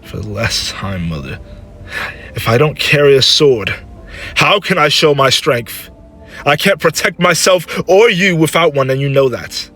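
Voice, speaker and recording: A man's voice: medium pitch, moderate pace, a bit bright, husky, faint music behind. A man voicing a proud, menacing young warrior son, heated, defiant and scornful, in a dramatic story. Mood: furious.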